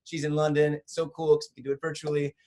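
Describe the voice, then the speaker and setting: A man's voice: a little high, completely flat delivery, fast talker, mellow. Chatty man hosting online play reading. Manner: chatty, filler-heavy. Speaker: host of an online theatre company. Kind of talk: casual introduction. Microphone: home computer mic on a video call.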